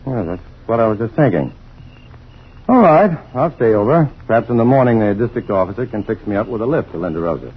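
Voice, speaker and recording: A man's voice: lowish voice, animated, fairly quick, slightly rough. A man acting in a radio drama as a genial scientist, speaking in an easygoing, conversational way. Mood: genial, relaxed. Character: genial male scientist camping in the woods. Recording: dull, noisy old radio drama recording.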